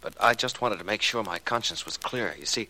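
An actor playing an earnest, uneasy young employee in love, in a 1940s radio drama. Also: male voice, on the low side, brisk, pushed and bright. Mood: anxious. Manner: anxious, earnest. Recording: noisy old radio transcription.

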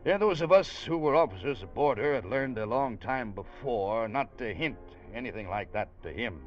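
An actor playing a wry, easygoing ship's officer, narrating in a folksy, conversational way in an old radio drama. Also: male voice, mid-range voice, lively intonation, moderate pace, soft background music, lightly acted. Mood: wry, reminiscing. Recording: dull vintage radio recording.

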